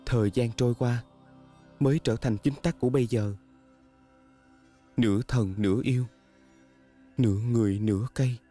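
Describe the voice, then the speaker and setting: A man's voice: mid-range voice, fairly even intonation, normal speed, pauses often, dark tone, lightly acted. A man dubbing a devoted, self-blaming young male spirit in a fantasy drama, speaking earnestly and solemnly. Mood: solemn, sorrowful. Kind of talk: dubbed fantasy drama acting. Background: music.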